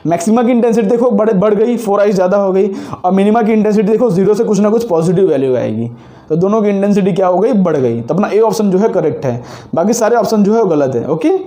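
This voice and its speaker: A man's voice: high voice, fast, warm tone. A man with a sore throat teaching physics, working through exam problems step by step and repeating the key points. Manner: explains step by step, repeats key points, mixes Hindi and English. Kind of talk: solving exam problems on a board.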